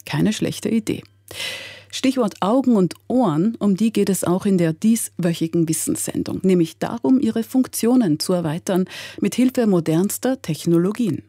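An Austrian woman presents a scripted radio programme in a dark, soft-edged voice. It is husky and slightly low, recorded in a very dry studio. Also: animated, normal speed, dry recording.

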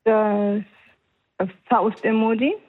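A woman's voice: medium pitch, little pitch movement, drawn-out words, no echo, phone-quality sound. A woman from Spain with a Spanish accent, calling in to a radio show and speaking German hesitantly, with frequent giggles. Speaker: caller. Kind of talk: phone-in conversation.

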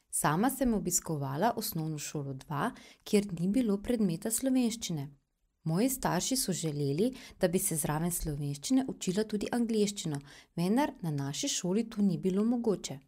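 Woman for a translated voice-over, warm and mellow, even and measured. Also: fairly low pitch. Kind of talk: read voice-over narration.

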